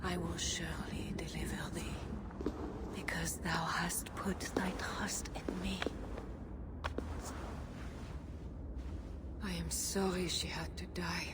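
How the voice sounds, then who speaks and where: A woman playing a devout, calmly assured medieval queen in a video game, serene and quietly insistent. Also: fairly low pitch, unhurried, in a whisper, close to an everyday voice. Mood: hushed. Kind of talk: dramatic video game acting.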